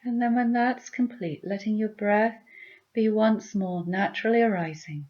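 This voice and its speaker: A woman leading a guided meditation with gentle, soothing, deliberate instructions. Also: mid-range voice, lo-fi sound.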